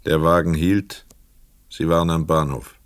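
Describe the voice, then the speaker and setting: A man reading scripted literary narration for a radio play in a measured, precise storytelling manner. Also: a bass voice, fairly even intonation, smooth, no echo.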